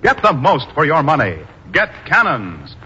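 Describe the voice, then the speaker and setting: A man's voice: on the high side, huge pitch swings, a little slow, pushed and bright, slightly rough. A man delivering an emphatic 1950s radio commercial as an announcer. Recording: muffled radio recording, music behind.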